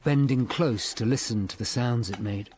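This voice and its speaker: A man's voice: medium pitch, moderate pace, background noise, close to an everyday voice. An actor playing a sombre Tudor lawyer who narrates his investigation in a radio drama.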